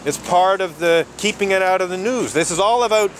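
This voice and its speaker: A man's voice: high-pitched, a little fast. A man arguing his case on a city street, insistent and repeating himself, laughing between points. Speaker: street protester. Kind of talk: heated street argument. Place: city street outdoors near traffic. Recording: outdoor street noise, traffic.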